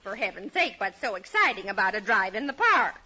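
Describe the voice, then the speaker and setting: A woman with an American accent playing a polite, amused, gently teasing straight woman in 1940s radio comedy. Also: medium pitch, sing-song, a little fast, husky, full character voice. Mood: amused, wry. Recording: muffled, noisy old radio recording, audience behind.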